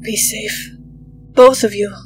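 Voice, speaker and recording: Actress as wounded but defiant woman inventor, medium pitch, earnest, dramatic comic dub delivery. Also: fairly even intonation, faint music behind, natural voice, rough recording. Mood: soft, resolute. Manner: earnest, emotional, dramatic pauses.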